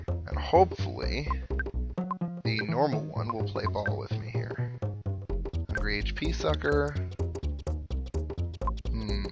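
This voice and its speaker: A man giving casual video game playthrough commentary, musing aloud as he thinks through tactics. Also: fairly low pitch. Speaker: let's player. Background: video game music.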